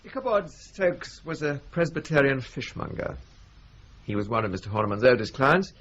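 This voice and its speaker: A man's voice: mid-range voice, sing-song, moderate pace, fairly bright tone. An actor playing a formal, pedantic senior solicitor in a radio drama, exact and precise in his phrasing. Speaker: trustee solicitor. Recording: dull lo-fi radio recording, faint hiss.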